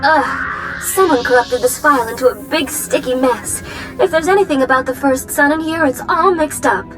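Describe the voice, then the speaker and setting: An actress playing a flirty, playful holographic computer companion in a video game, sugary and breezy in manner. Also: female voice, sits high, moderate pace, over music, close to an everyday voice, rough recording. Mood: playful fussing.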